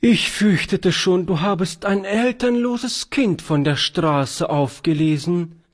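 A man's voice: high voice, animated, slow pace, pitched above the performer's own voice, full character voice. A man voicing a fussy, prim old spinster aunt who is easily alarmed. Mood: worried.

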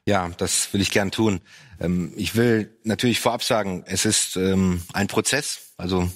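Male pastor, low-pitched, halting and reflective, panel guest. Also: fairly even intonation. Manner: reflective, halting, with many fillers. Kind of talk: panel discussion on parenting and faith.

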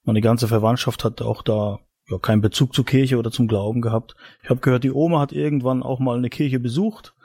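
A man in his forties from eastern Germany with a husky voice, casually telling his life story as a podcast guest in a studio. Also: mid-range voice, steady tone, fairly quick, hardly any pauses, mellow, no room sound. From Mecklenburg-Vorpommern, eastern Germany.

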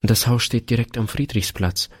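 A man doing a gentle, explaining mother. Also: medium pitch, moderate pace, voice pushed up, lightly acted. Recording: long hall reverb.

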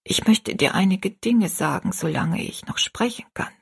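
A woman voicing a gravely ill, gentle and pious mother in a story, half-whispered, slow and earnest. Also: lowish voice, expressive, full character voice. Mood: sad, grave.

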